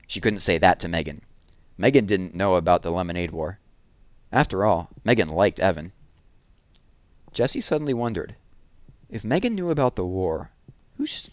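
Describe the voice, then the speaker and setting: A man with a fairly low voice narrates a children's book in a read-aloud style. He works as a storyteller, and the sound is dry with little room in it. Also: no echo.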